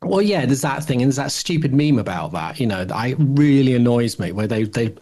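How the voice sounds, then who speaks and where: A man's voice: mid-range voice, very animated, brisk, warm tone. A man in his forties co-hosting a podcast, casually rambling through his opinions and thinking aloud with frequent false starts. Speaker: podcast co-host.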